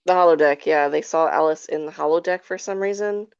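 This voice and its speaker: A woman's voice: low voice, brisk, gravelly, a little mellow, smooth, no echo. A woman chatting casually in a podcast discussion, speaking in a tentative, hedging way. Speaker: podcast panelist. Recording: slightly dull, lo-fi audio.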